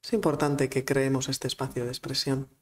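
A woman's voice: a bass voice, level tone, moderate pace. Chatty woman moderator. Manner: informal, chatty, laughs easily. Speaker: grief therapist and speaker. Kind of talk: casual talk moderating discussion. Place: conference room of a cultural centre. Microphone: table microphone.

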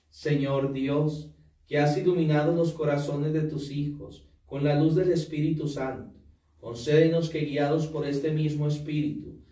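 A priest leads Mass in a small chapel, his mellow, dark voice reciting prayers in a measured way. He sounds distant from the mic, with the room's echo around him. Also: fairly high pitch. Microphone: far camera. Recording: echoey room, distant mic.

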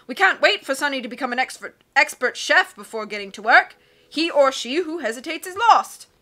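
A woman voicing a loud, hasty male submarine captain who cries out his lines and rambles, reading a storybook aloud. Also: high-pitched, lively intonation, brisk. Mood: hearty bluster. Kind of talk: read-aloud storybook acting.

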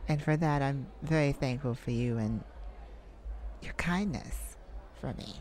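A woman's voice: a bass voice, unhurried, a little mellow, slight background noise, voice pushed down. A woman voicing a bashful, flirty male lounge singer in a coy, intimate ASMR roleplay. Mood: bashful, grateful. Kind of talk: ASMR roleplay, intimate addressed-to-listener acting.

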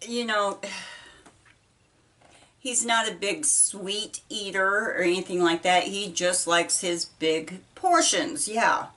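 A middle-aged woman with a Midwestern American accent, chatting casually while she folds laundry, talking haltingly with many ums and restarts. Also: medium pitch, a bit bright. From United States. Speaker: vlogger. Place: small indoor laundry room. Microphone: camera set apart from her. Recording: voice a bit far from mic.

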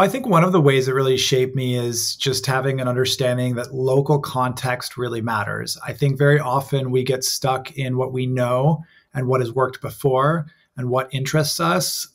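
A man in his thirties with an American accent, a guest answering interview questions openly and thoughtfully over a video call from a room at home. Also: medium pitch. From raised abroad in Asia and Europe. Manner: open, reflective, measured. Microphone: webcam on a video call.

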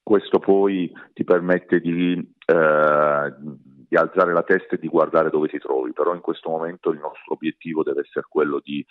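A man giving measured, hesitant answers as a sports coach in a radio interview. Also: extremely low pitch, steady tone, no echo, phone-quality sound. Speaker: basketball coach being interviewed.

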